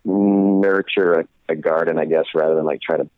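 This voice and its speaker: A young adult man in casual conversation as a guest, talking haltingly with many fillers and restarts. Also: rumbling low, fairly even intonation, no room sound. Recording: tinny phone line.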